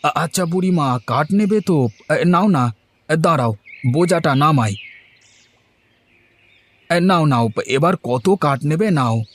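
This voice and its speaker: A man's voice: a little high, lively intonation, slow, long pauses. A man voicing a simple, good-natured village man in a cartoon, naive, hesitant and eager to oblige. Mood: kindly, obliging. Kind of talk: cartoon voice acting.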